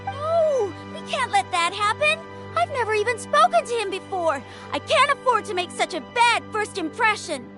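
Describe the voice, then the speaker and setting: A very high, anxious child. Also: female voice, big pitch swings, moderate pace. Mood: worried. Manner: hesitant, earnest. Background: game music.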